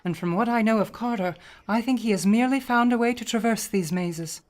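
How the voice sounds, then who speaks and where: A woman's voice: on the high side, a little fast, close to an everyday voice. A woman in a radio play acting an earnest, stubborn older occult scholar, firm, pointed and articulate. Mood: convinced.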